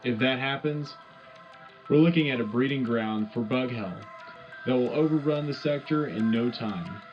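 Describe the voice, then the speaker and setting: Wartime radio newsman, played by a male actor: folksy patriot, rallying, mid-pitched, lo-fi sound over music. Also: moderate pace, natural voice. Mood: rallying, confident. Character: upbeat propagandist male wartime radio news host. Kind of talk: scripted radio war bulletin.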